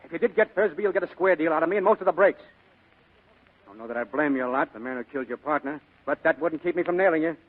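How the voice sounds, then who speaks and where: A man's voice: a little high, expressive, fast talker. A man playing a blunt, stern, insistent police detective in a 1940s radio drama. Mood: stern, warning. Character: blunt, hard-driving male police detective. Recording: thin, tinny old radio broadcast.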